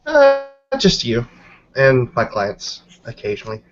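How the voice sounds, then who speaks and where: A man's voice: medium pitch, wildly swooping pitch, unhurried. A man playing a guarded, haughty businesswoman in a tabletop game, dry and evasive. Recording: dull, noisy home mic.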